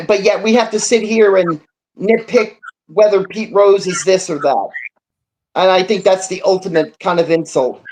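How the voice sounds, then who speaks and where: A man's voice: high voice, slight background noise, low-quality recording. A man co-hosting a casual sports talk show, giving emphatic, indignant opinions with conversational asides.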